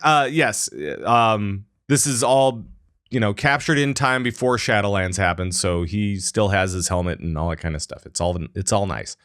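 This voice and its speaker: Guy on a gaming podcast, animated, acts out the characters, dead dry mic. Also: on the low side, moderate pace, dry recording. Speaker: podcast co-host. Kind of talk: casual gaming podcast chat.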